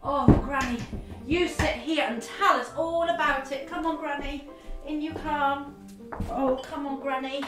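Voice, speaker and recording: A woman playing an excitable, kindly young girl from a fairy tale, with gasping, exaggerated delivery in interactive children's theatre. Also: high voice, unhurried. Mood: flustered, relieved. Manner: breathy gasps, exaggerated expressive delivery. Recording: echoey room with background music.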